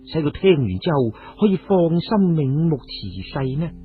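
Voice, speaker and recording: A man's voice: on the high side, moderate pace, lightly acted. A man voicing an icy, suspicious noblewoman in a storybook tale, cold and controlled as she questions. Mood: cold, probing. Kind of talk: storybook character dialogue. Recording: tinny narrow-band radio sound, music behind.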